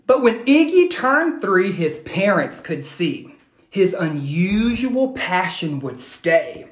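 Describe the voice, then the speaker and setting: An American man, a teacher in his thirties, reading aloud to children and asking them questions in an expressive, instructional way, recorded on a phone at home. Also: sits high. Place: small room at home, indoors. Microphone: phone at arm's length. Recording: echoey room, phone recording.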